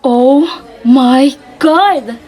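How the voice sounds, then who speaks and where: A woman's voice: sits high, drawn-out words, dark tone, soft background music. A woman playing a dramatic, easily rattled socialite daughter in a radio drama, with valley-girl phrasing. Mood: indignant, rattled.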